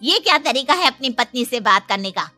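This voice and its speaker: A woman playing a middle-aged mother-in-law in a dramatized moral story, emphatic and maternal, protective but quick to scold. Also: high-pitched, big pitch swings, sharp tone, no room sound, soft background music, heavily acted. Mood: indignant.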